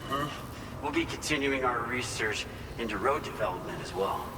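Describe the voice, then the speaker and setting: A man's voice: mid-range voice, animated, moderate pace, lightly acted. An actor playing an earnest, grateful male research facility staffer in a video game, explaining things a little hesitantly. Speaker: facility staffer at a distribution hub. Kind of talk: scripted game cutscene dialogue. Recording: echoey hall, clear vehicle-like noise.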